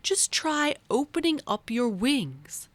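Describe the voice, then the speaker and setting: A woman's voice: high-pitched, sing-song, slow, dry recording, pitched above the performer's own voice, full character voice. A woman voicing a kindly female butterfly in a children's storybook, encouraging and reassuring.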